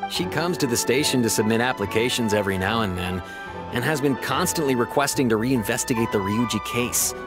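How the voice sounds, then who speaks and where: Casting: actor as affable gossipy male officer, medium pitch, casual confiding game dialogue over music. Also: natural voice. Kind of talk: video game character acting.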